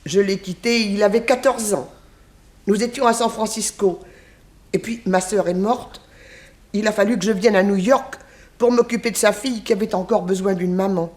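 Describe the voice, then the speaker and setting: An actress in a 1960s French radio detective drama playing a kindly, talkative old former nanny, with a homely, fondly reminiscing manner. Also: female voice, medium pitch, steady tone, moderate pace, darkish tone, natural voice. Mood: matter-of-fact. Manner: homely, reminiscing, sighing exclamations.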